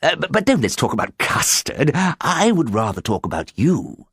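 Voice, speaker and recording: A storybook gentleman farmer, pitched high and swinging wildly. He wails and stammers with overwrought, melodramatic feeling, in a close, dry recording. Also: huge pitch swings, moderate pace, husky, dry recording, full character voice. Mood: flustered adoration. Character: melodramatic, ruined gentleman farmer, sobbing and easily lovestruck.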